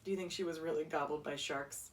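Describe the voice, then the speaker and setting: A woman reading a children's story aloud in an expressive, instructive way, pausing to ask the listener questions. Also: low-pitched, distant mic, slight background noise. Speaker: story reader, teacher.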